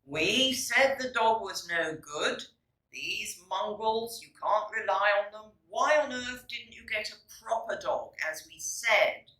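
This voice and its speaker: A woman puts on a disapproving storybook parent, fussy and scolding. The delivery is bright and forceful with emphatic swings of pitch, in a roomy space. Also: lively intonation, slow, far from the mic. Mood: indignant.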